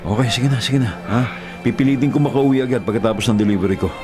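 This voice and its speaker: An actor playing a caring, hardworking Filipino delivery rider, earnest and coaxing, in a radio drama. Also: male voice, mid-range voice, fast talker, loud music behind the voice, lightly acted. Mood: reassuring.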